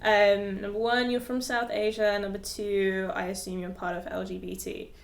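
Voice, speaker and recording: A woman's voice: medium pitch, flat delivery, normal speed, vocal fry, echoey room. A young woman in her twenties who grew up in Dubai, a yoga teacher chatting casually to camera, giggling often and using lots of fillers.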